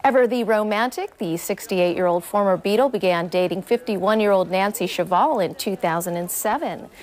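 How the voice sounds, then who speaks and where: A woman's voice: mid-range voice, normal speed, poor audio. A woman reading scripted news stories as a news anchor. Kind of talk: scripted newsreel narration.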